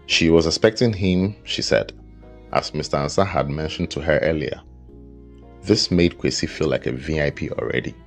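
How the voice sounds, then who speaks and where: A man with an extremely deep voice narrates a folktale from a script. His delivery is measured and dramatic, in the manner of a storyteller. Faint guitar music plays behind him. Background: background music with guitar.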